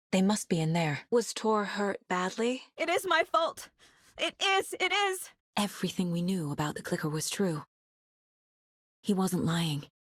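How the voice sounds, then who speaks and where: A woman's voice: lowish voice, breathy edge, no room sound, clean studio sound, full character voice. A woman playing a serious, determined FBI agent in a video game, often musing to herself as she investigates. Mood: focused, investigative, then anguished, panicked. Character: determined, level-headed female FBI agent investigating supernatural horror. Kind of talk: dramatic video game voice lines.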